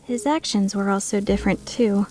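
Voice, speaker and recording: A woman's voice: medium pitch, moderate pace, darkish tone, close to an everyday voice. A woman playing a flustered, skeptical young woman who narrates her own story introspectively in a fan-dubbed drama. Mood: embarrassed, wondering. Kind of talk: fan dub drama with first-person narration.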